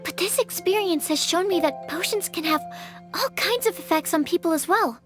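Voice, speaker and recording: Very high-voiced eager young alchemist. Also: female voice, normal speed, over music. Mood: eager, thoughtful. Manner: earnest, enthusiastic, explanatory. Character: eager young female alchemist researcher, earnest and enthusiastic. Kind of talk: video game voice acting.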